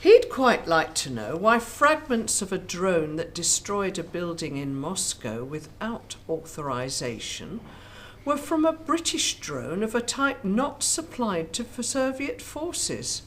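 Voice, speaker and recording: Woman playing an animated, imperious political rival. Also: fairly low pitch, slow pace, slight background noise. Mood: haughty. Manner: haughty, confrontational. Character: indignant, imperious female political opponent. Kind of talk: radio drama acting.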